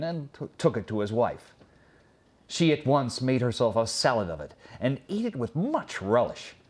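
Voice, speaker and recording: A man reading a fairy tale as a storyteller, in a dramatic, expressive scripted narration with pauses. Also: medium pitch.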